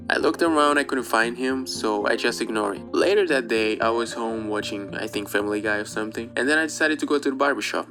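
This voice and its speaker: A young man whose English carries a Portuguese accent tells a personal story in a casual, candid way, dropping the odd swear word. His voice sits at an ordinary middle pitch for a man. Soft guitar music plays faintly underneath. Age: young adult. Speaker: YouTuber.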